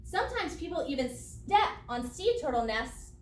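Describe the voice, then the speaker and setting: A woman's voice: high voice, big pitch swings, moderate pace, a little hiss or hum. A woman teacher reading a children's non-fiction book aloud, explaining it expressively in simple words for young listeners. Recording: roomy echo, distant microphone.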